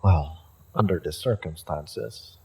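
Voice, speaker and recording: A man's voice: deep voice, slow pace, gravelly, darkish tone, breathy edge, heavily acted. A man playing a haughty evil sorcerer in a fantasy roleplay audio drama, theatrical, condescending and musing. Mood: impatient, dryly amused. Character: haughty evil male sorcerer leading a raid.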